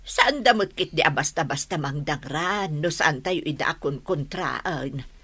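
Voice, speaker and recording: A woman with an Ilocano accent and a husky voice playing a concerned village woman in a radio drama. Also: sits low, animated, unhurried, a bit bright, exaggerated voice. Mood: concerned, cautious. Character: concerned, cautious village woman rallying her townmates.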